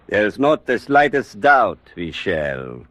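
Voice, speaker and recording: Smug German U-boat officer, lively pitch. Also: male voice, no echo, heavily acted. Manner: smug, evasive, halting word choice. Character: defiant captured Nazi U-boat officer, boastful and evasive. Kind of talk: 1940s radio acting.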